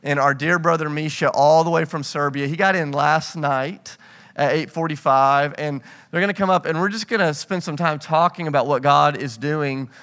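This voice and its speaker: A man's voice: fairly high pitch, normal speed, a bit bright. A man speaking casually and earnestly to a congregation as a pastor and host, effusive and often circling back to repeat himself. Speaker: church pastor hosting an interview. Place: church gathering on stage.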